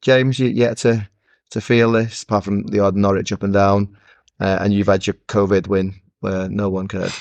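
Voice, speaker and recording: A man's voice: on the low side, flat delivery, normal speed, creaky, darkish tone, dry recording. A man co-hosting a casual football podcast, teasing his friends with mock-grudging banter. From Scotland. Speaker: podcast co-host.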